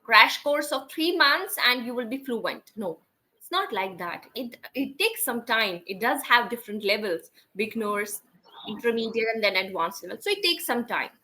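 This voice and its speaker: A woman's voice: on the high side, animated, normal speed, bright, forceful tone, poor audio. A woman with an Indian English accent, an English teacher, answering interview questions in an explanatory way and often checking in with "right?".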